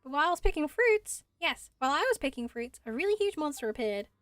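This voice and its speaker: A small, timid forest spirit from a fantasy game, acted by a woman, with a very high, swooping voice. It speaks in a hesitant, dreamy ramble, wide-eyed and earnest. The sound is dry and close over music. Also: very animated, fast talker, fairly bright tone, no echo. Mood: wistful, earnest. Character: timid, dreamy little forest spirit, just woken and dizzy.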